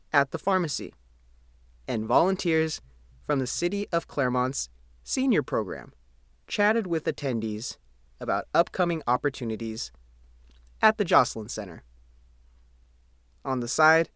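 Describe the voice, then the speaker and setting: Lively American male news narrator, dry sound. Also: fairly high pitch, dry recording. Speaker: newspaper reporter reading own article.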